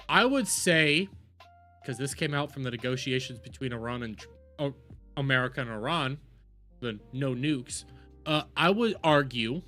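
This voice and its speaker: Bright-voiced man doing blunt livestream commentary. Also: a little high. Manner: blunt, profane, rambling, emphatic. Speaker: livestream host and reactor. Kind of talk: casual commentary, reacting to news and trailers.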